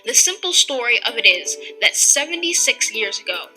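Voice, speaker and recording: A woman reciting a historic speech in a solemn, measured, formal style. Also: fairly high pitch, very animated, sharp tone, faint music behind, rough recording.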